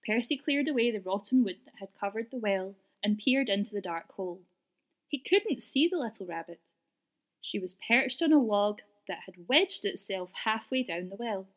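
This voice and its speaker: A woman reading a children's story aloud in an expressive, deliberate way. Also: mid-range voice. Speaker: storybook reader.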